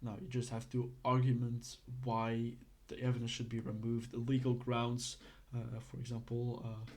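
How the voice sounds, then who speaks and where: A man's voice: mid-range voice, completely flat delivery, moderate pace, a little mellow. A man from Belgium, giving a casual, hesitant lesson full of fillers and restarts. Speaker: instructor.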